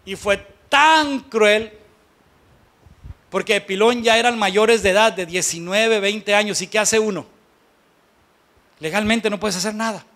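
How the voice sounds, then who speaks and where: A Mexican pastor with a notably high voice for a man delivers a sermon to his congregation. He talks in a colloquial, conversational register, blunt and emphatic, often putting rhetorical questions to his listeners. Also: fairly even intonation, moderate pace, a bit bright.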